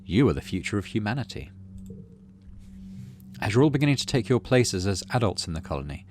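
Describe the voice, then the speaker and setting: Animated, slightly low-voiced man narrating a game. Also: a little hiss or hum. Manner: expressive storyteller reading with asides. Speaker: gaming channel host. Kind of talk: reading game narration aloud with casual commentary.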